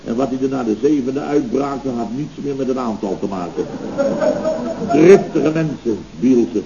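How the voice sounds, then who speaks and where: A man's voice: medium pitch, moderate pace, creaky, natural voice. A man playing a pompous, indignant, self-satisfied building contractor in a scripted radio comedy, blustering and rambling in grand words. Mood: blustering, evasive. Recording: dull, noisy old radio recording.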